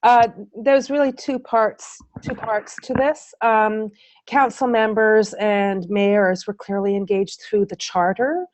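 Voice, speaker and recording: A woman's voice: medium pitch, fairly even intonation, takes it slowly, darkish tone, no echo, rough recording. A woman presenter answering audience questions in a webinar, speaking with many ums, uhs and restarts.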